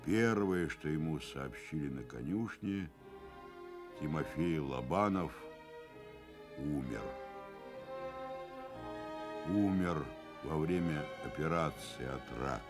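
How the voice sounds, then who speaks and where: Grave radio-drama narrator, low, very slow, dramatic literary delivery, music behind. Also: male voice, animated, full character voice. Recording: archival Soviet radio recording with music.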